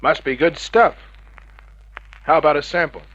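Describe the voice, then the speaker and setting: A hardboiled private eye in a vintage radio crime play. His voice is bright and forceful, the delivery terse, cocky and slangy, on a dull old recording. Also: a little high, normal speed. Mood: cool, wry. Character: tough, wisecracking male private detective.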